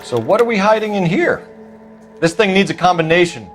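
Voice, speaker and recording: A man's voice: sits high, very animated, a little fast. A man playing an earnest, probing police detective in a 1940s video game, driven and intense. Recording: loud music and car engine behind. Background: loud music, vehicle noise.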